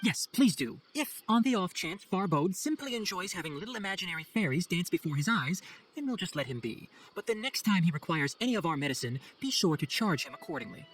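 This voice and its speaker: Young head ranger, quick lively talker, dry and exacting, game cutscene. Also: male voice, sits high, rough recording. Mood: dryly sarcastic. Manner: articulate, dryly sarcastic, instructive. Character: brisk, clever, exacting young male chief forest ranger. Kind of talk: video game cutscene acting. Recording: faint music behind the voice.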